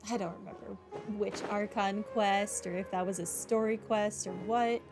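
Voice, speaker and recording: A woman's voice: medium pitch, fairly even intonation, moderate pace. A woman giving casual playthrough commentary on a video game, musing and thinking aloud. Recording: loud game music behind voice. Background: game music.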